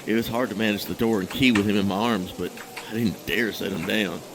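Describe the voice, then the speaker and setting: An actor playing a troubled, guilt-ridden husband in an audio drama, recounting a memory in a halting, hesitant, brooding monologue. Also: male voice, on the low side, background noise, lightly acted. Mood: brooding, regretful.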